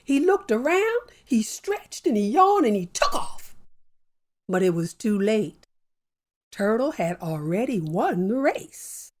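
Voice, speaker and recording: A woman hosting a children's story show, telling a folktale with a lively, playful delivery and drawn-out phrasing. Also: on the high side. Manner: warm animated storyteller with slow deliberate pacing. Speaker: storyteller host. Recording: soft music bed behind.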